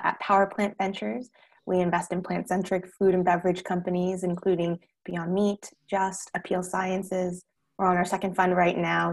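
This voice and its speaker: A woman's voice: lowish voice, creaky. A young American woman introducing herself as an investor on a panel. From Texas, United States. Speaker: panelist, growth equity investor.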